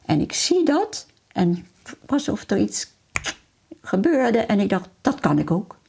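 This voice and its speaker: A woman's voice: medium pitch, big pitch swings, slow pace, gravelly, darkish tone, husky. A middle-aged woman talking about her hobby and memories in a personal interview, as a hobbyist. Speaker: miniature-making hobbyist being interviewed.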